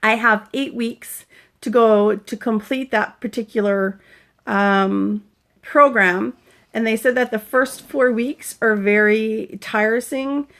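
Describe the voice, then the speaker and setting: A woman in her forties from Canada, a fitness coach talking casually and earnestly to viewers about recovering from a concussion. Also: medium pitch. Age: 40s. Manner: chatty, earnest, breaks off to greet viewers brightly. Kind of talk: casual live-stream vlog, greeting viewers.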